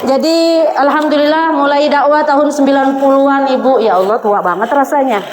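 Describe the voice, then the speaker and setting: A woman's voice: sits high, fairly even intonation, brisk. A woman in her forties preaching to a women's congregation in a conversational way, often addressing her listeners directly. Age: 40s. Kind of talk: sermon to a women's congregation, with recited Arabic quotations. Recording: echoey room, faint background noise.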